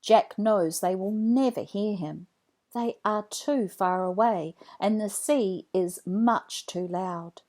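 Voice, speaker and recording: A woman narrating a children's read-along story from a script, in an expressive storytelling manner. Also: mid-range voice, slow pace.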